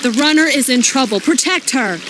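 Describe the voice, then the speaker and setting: A woman's voice: high-pitched, lively intonation, brisk, heavy background noise. An actress playing an anxious, devoted female night elf sentinel in a video game, urgent and deferential. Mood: alarmed. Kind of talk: dramatic video game cutscene acting.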